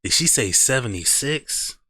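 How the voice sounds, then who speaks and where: A man's voice: mid-range voice, expressive, unhurried, over music. A man hosting a reaction video, mocking what he watches in slangy, joking casual commentary.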